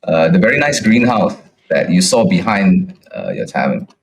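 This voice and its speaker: A man narrating a tabletop game as game master, improvising in a halting way. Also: deep voice, sing-song, vocal fry, slight background noise.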